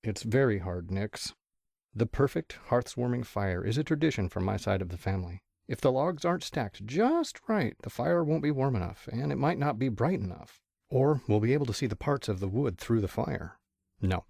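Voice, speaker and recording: A man's voice: on the low side, creaky, a little mellow, no echo, clean studio sound. A man playing a middle-aged father pony in a fan audio drama, a proud, pedantic perfectionist who speaks earnestly.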